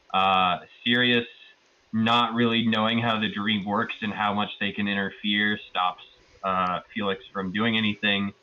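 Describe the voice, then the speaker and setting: A man's voice: on the low side, bright, forceful tone, muffled recording. A man giving a casual, rambling and amused recap of a tabletop roleplaying session as one of the players.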